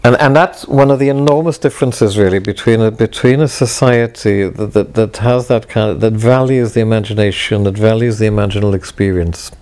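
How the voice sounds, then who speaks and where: A middle-aged man from Wales reflecting on his past in a halting, wry way, full of restarts. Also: fairly low pitch, steady tone, a little mellow. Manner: halting, many restarts and self-corrections, wry. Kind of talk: reflective personal talk.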